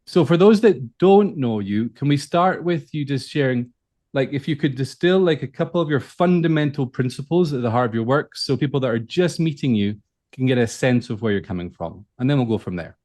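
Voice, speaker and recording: A man's voice: mid-range voice, animated, fairly quick, a little mellow. A man with a Scottish accent, hosting a podcast interview in a friendly, casual way. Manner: friendly, with fillers. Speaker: podcast host.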